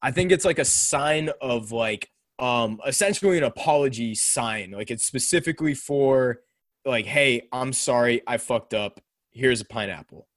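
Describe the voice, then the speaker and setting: A young man co-hosting a casual chat about a TV show, animated and quick to laugh. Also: mid-range voice, dry recording, clean studio sound. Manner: animated, laughs often, does mock voices in retelling. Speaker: podcast co-host. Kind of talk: casual chat reviewing a TV episode.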